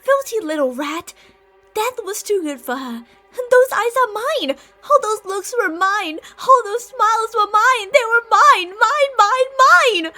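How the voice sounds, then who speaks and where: A woman's voice: very high-pitched, expressive, unhurried, sharp tone, exaggerated voice. A woman voicing a possessive, delusional lamia monster in an ASMR roleplay, speaking in a haughty, theatrical, sweetly gaslighting way. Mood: shrieking, frantic rage.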